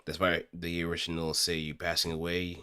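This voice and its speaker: A man chatting casually on a livestream, musing in a halting way as he thinks aloud. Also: sits low, monotone, bright, forceful tone, clear, resonant tone, dry recording, clean studio sound. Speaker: streamer practicing voice acting.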